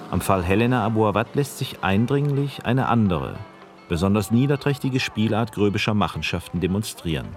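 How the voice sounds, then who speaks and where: A man narrating a radio documentary in a measured, grave manner. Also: lowish voice.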